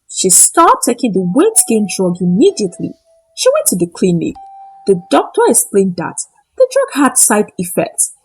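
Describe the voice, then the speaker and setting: A woman narrating a scripted moral folktale in short, deliberate, dramatic phrases. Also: medium pitch, slightly rough, low-quality recording. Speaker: storyteller.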